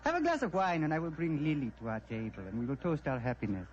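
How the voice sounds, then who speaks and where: An actor playing a proud, excitable young aristocratic prince in old-time radio drama, haughty and impassioned, on an old recording. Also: male voice, fairly high pitch, fast, dull audio. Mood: happy, proud. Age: young adult.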